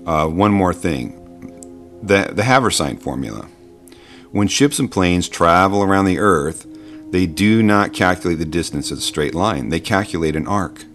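A man giving an informal explanatory voice-over, conversational and halting, patiently reasoning through his points. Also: low-pitched, animated, a bit bright, soft background music. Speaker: video narrator explaining science.

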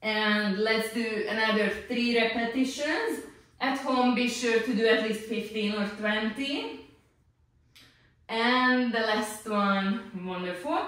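A woman instructor talks through exercises step by step at a slow pace. Her voice sits a little high. She is recorded at some distance from the microphone in a small, strongly echoing studio. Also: a bit bright. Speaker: Pilates instructor. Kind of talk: exercise instruction, demonstrating moves. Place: small indoor home-style exercise studio. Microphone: distant camera microphone. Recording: echoey room, distant microphone.

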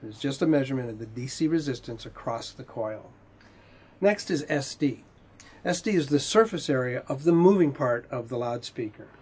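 A man in his fifties giving a technical lecture in a measured, explanatory way with pauses mid-sentence, his voice slightly husky. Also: medium pitch, animated, darkish tone. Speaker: instructor, R&D manager. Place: indoor video studio with whiteboard.

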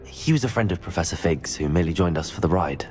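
An actor playing a courteous, earnest teenage wizard student in a video game. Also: male voice, low-pitched, expressive, fast, darkish tone, slight background noise. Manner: courteous, well-spoken. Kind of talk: video game cutscene acting.

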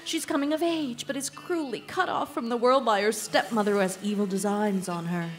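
A woman's voice: a little high, brisk, lightly acted. A woman playing a pompous, bossy, gushing author in a live radio drama, theatrical and condescending. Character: pompous, bossy, gushing woman romance author who berates her husband. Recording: live stage recording with background noise.

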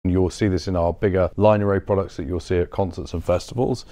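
Deep-voiced man giving a showroom tour. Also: steady tone, fairly quick, dark tone, no room sound. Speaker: business development director giving a showroom tour. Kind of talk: informal explanatory product presentation. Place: large acoustically treated showroom.

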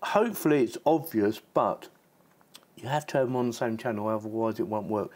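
A man's voice: fairly low pitch, brisk, darkish tone. A man giving a casual, chatty hands-on product demonstration, informal and given to repeating himself. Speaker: product reviewer.

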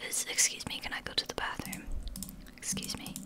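A woman, whispering casually through language-app practice, halting and swearing now and then. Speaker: language learner.

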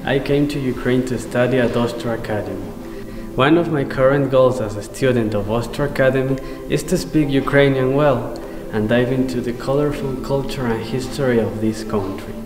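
A man's voice: medium pitch, moderate pace. A man from Ecuador, a native Spanish speaker and student, reciting a greeting to camera in a gallery hall. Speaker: university student. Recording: echoey hall with music behind.

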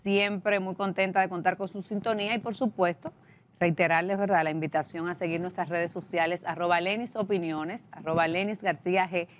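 A woman, hosting a TV interview show with measured, formal questions in a studio. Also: lowish voice, fairly even intonation, a little hiss or hum. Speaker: TV interview host. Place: TV studio set.

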